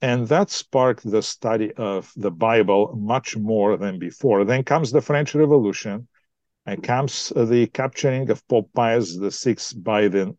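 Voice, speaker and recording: A man with a Bulgarian accent, a pastor explaining his church thoughtfully in a podcast interview, pausing often to find words. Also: mid-range voice, darkish tone, dry recording. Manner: explanatory, thoughtful, frequent hesitations. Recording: dry, dull lo-fi sound.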